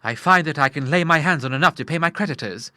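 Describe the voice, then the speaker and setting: A man's voice: on the high side, fast talker, bright, forceful tone, dry recording, clean studio sound, pitched above the performer's own voice. A man voicing an earnest, honourable grown son in an audiobook, speaking formally and with emphasis. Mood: grateful, sincere. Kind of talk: audiobook character voice.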